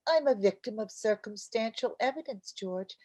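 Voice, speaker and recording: A woman acts an exasperated, scatterbrained housewife in the style of old-time radio comedy. Her voice sits a little high, and she sounds earnest, flustered and insistent. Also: animated, moderate pace, mellow. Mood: flustered explaining. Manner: fretful, insistent.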